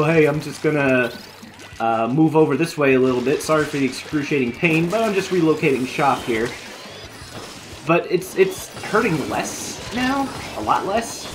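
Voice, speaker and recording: A man live-streaming a video game, chatting casually with viewers while he plays, his voice going hoarse from a long session. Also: a little high. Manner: chatty, thinking aloud while playing. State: losing his voice.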